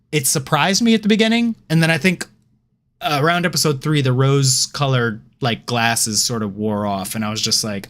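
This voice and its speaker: A man's voice: medium pitch, a bit bright. A man co-hosting a podcast, chatting casually in an informal, sweary way and often saying "like". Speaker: podcast co-host. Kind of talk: casual chat about TV and games.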